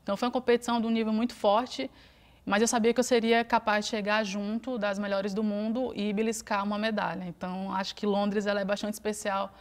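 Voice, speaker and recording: A woman's voice: mid-range voice, quick pace. Brazilian woman swimmer in a studio interview. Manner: relaxed and reflective, frequent fillers. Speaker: interview guest, Paralympic swimmer.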